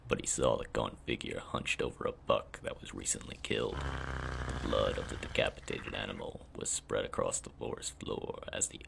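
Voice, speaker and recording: A man reads dark fantasy prose in an extremely low register. His voice is thick with heavy fry and often drops into breath, as a dramatic storyteller's reading. A faint noise sits behind him. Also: low-quality recording. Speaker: author reading own story. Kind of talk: dramatic scripted fantasy narration.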